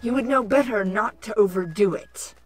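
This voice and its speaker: An imperious changeling villainess from a cartoon audio story, played by a woman. She speaks deliberately and scoldingly, full of contempt, over background music. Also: mid-range voice, close to an everyday voice. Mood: haughty lecturing. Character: imperious changeling queen villainess, irritable and haughty. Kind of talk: animated-series fan fiction audio drama. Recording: music under the voice.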